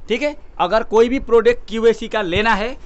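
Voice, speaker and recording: A man's voice: very high-pitched, moderate pace, highs cut off. A young man from India casually presenting products to camera as a video host, emphatic and repetitive, addressing viewers like brothers. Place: indoor electronics showroom.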